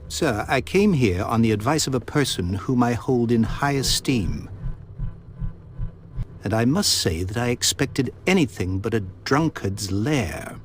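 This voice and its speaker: An actor playing a proud, grieving old industrialist in a period mystery game, speaking formally and earnestly. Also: male voice, medium pitch, animated, unhurried, soft background music, close to an everyday voice. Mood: haughty, scornful. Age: older. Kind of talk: video game voice acting, period mystery drama. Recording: faint background noise.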